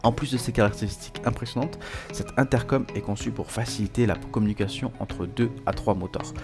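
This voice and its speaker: A man's voice: lowish voice, a little fast. A man presenting a scripted product review as a voice-over, reading aloud with small stumbles and self-corrections. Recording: voice-over with music behind. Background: background music.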